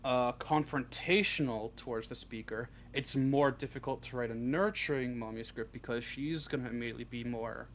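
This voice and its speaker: A man with a mid-pitched voice chats casually as an interview guest, pausing to think his way through answers. There is clear noise behind him on the recording. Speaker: interview guest, audio roleplay script writer. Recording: clearly noisy background.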